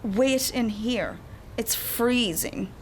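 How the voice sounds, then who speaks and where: A woman's voice: fairly high pitch, very slow, slight background noise. An actress playing a sociable, breezy young woman in a naturalistic radio drama. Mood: polite, breezy.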